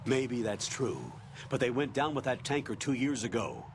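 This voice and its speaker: Guy doing stern game commander, deliberate, authoritative, mid pitch, faint noise behind. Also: big pitch swings, lightly acted. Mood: firm. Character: stern male military commander briefing a soldier. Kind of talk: video game cutscene dialogue.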